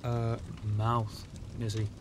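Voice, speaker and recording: A man playing a gruff, protective middle-aged detective father who is tender with his daughter, in an audio drama. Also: medium pitch, monotone, takes it slowly, slight background noise, lightly acted. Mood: gruffly amused.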